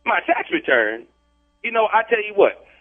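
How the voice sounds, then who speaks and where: A man's voice: high-pitched, very animated, normal speed. A man in an unscripted phone conversation, protesting and repeating himself over a thin phone line. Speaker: prank call target. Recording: tinny, band-limited phone line.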